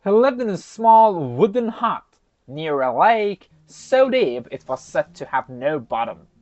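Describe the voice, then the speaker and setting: A storyteller hosting a folktale for children, narrating theatrically with chatty, casual asides. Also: medium pitch. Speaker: storyteller and host. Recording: slightly dull, lo-fi microphone sound.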